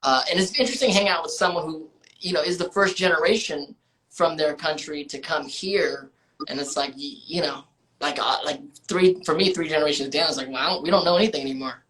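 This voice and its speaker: Low-voiced chatty woman hosting. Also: fairly quick, fairly bright tone, rough recording. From United States. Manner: loose and chatty, many fillers and restarts. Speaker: film club host. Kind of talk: casual livestream chat.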